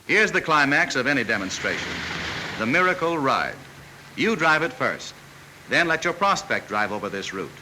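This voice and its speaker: An actor playing a knowing, folksy narrator who coaches car salesmen with wry, chummy asides in a mid-century training film. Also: male voice, mid-range voice, sing-song, normal speed, noisy background, natural voice. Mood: instructive.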